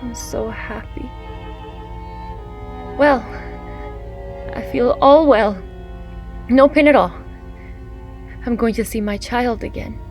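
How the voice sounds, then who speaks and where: Woman playing a slow-speaking, fragile sick young mother. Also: a little high, faint music behind, rough recording. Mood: hopeful, elated. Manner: plaintive, earnest. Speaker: actor. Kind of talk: radio-style period drama acting.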